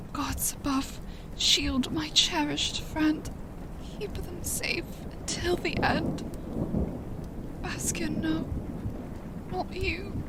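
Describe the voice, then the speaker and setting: A woman's voice: sits high, noisy background. A woman playing a poetic, devoted fey adventurer with a dramatic, lilting delivery in a fantasy horror audio drama. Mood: anguished pleading.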